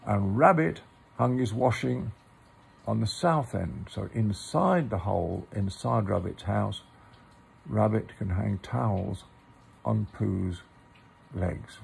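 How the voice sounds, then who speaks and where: Slow, very gravelly man reading a story. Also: lowish voice, darkish tone, slight background noise. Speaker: storybook reader. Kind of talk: children's story reading with informal asides.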